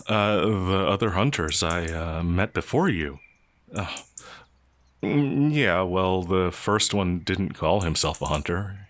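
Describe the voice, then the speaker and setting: A man voicing a gentle, confused, ancient werewolf in an ASMR roleplay, soft-spoken and polite, with hesitant stammering. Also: low voice, no room sound. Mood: rambling, reminiscing. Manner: halting, hesitant, bewildered stammering. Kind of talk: ASMR roleplay audio drama.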